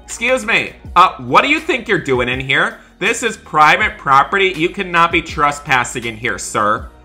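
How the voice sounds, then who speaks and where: A man hosting a roleplay gaming video, narrating excitedly and speaking straight to viewers in an animated, exclamatory way. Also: fairly high pitch, normal speed. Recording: music behind the voice. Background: background music.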